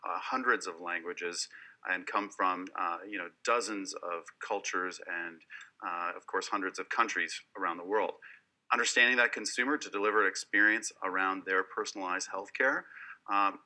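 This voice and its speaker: A man with a very deep voice explains his business on a panel. His tone is forceful and bright. He speaks as a company founder giving a polished pitch. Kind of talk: panel discussion.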